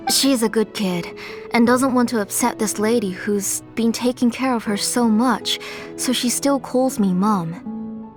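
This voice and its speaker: Game role: a gentle, soft-spoken mother, voiced by an actress, slightly high pitch, music under the lines. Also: close to an everyday voice. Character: gentle mother caring for her ill daughter. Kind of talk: dubbed video game cutscene.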